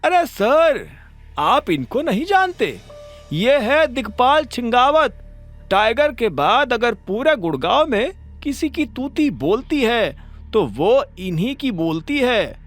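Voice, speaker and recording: A man's voice: very high voice, very animated, takes it slowly, fairly bright tone, faint music behind, voice pushed up, heavily acted. A man voicing an eager, puzzled cab driver in an audio drama, exclaiming and deferential. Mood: awed. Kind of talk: Hindi audio drama acting.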